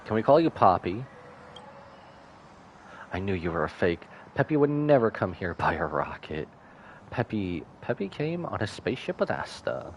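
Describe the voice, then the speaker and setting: A man's voice: lowish voice, sing-song, moderate pace, slight background noise. A man livestreaming a game, giving playful commentary and reading dialogue aloud. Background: faint game music.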